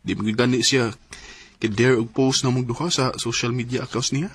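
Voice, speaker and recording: A man's voice: mid-range voice, steady tone, normal speed, fairly bright tone, breathy edge. An actor with a Cebuano accent playing an earnest, lovestruck young man in a sentimental radio drama, pleading and emotive. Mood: aggrieved.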